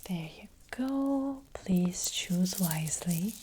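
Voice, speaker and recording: A woman playing a gentle, hesitant witch fortune teller in an ASMR roleplay, speaking in a breathy, hushed whisper. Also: lowish voice, animated. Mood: gentle, mysterious. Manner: slow, hushed, hesitant, unhurried. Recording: close-up with faint background noise.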